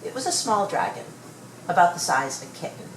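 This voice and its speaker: Female storybook reader, low register, expressive measured delivery, roomy sound with faint background noise. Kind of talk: read-aloud children's story narration.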